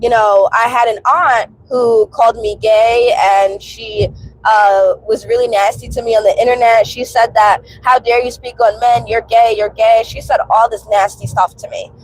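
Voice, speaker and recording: A woman's voice: a little high, fairly even intonation, normal speed, rough recording. A woman in her twenties chatting casually and candidly to her viewers in an emphatic, colloquial way, sounding stuffy from a cold. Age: 20s. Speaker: host of a personal commentary vlog. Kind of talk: casual, confessional chat to viewers.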